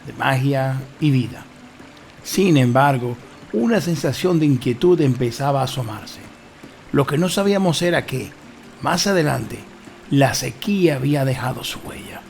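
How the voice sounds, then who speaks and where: A man's voice: medium pitch, gravelly, a little mellow, slight background noise. A Dominican man with a Dominican Spanish accent hosts a travel vlog, narrating in dramatic, sentimental phrasing. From Dominican Republic.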